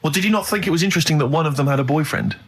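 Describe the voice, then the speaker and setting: A man's voice: fairly high pitch, racing, fairly bright tone, slightly rough. A man bantering as a comedy co-host in a mock-incredulous, articulate, teasing way, with some background noise. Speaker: comedy radio show co-host. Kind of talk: casual comic banter.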